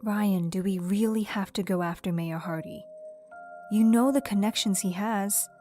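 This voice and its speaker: Woman acting as cautious vigilante sister, medium pitch, concerned, understated, crime drama, faint music. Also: moderate pace, mellow, lightly acted.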